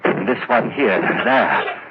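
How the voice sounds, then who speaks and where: Nervous murderer, wildly swinging pitch. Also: male voice, sing-song. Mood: furtive, gloating. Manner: halting, stammering, melodramatic. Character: guilt-ridden, nervous, increasingly frantic male murderer. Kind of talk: old-time radio mystery drama acting. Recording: muffled, noisy vintage radio recording.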